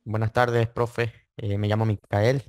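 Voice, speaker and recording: A man's voice: on the low side, level tone, measured pace, dry recording, clean studio sound. A man, answering interview questions casually and hesitantly, repeating words. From Venezuela (Mérida). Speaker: interview guest, trading student.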